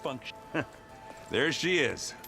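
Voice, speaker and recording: A brash battle-hardened male warrior in a video game. His mid-pitched voice swings in a lively way through wry, colloquial banter. Music plays clearly behind him. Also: takes it slowly, heavily acted. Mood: wry, upbeat.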